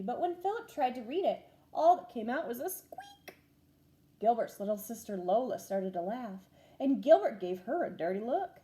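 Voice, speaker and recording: Animated woman reading a children's story. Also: fairly high pitch. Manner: animated, clearly paced, acting out dialogue. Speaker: first-grade teacher reading a story. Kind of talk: expressive children's storybook read-aloud.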